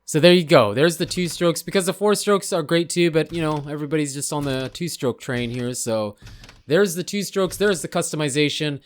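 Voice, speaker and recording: A man hosting a gaming channel chats loosely over his footage, hedging and rambling as he goes. His voice is a little hoarse, as if he is losing it. The sound is dry and close, with no room around it. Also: on the high side, moderate pace, no room sound. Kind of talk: casual gameplay commentary.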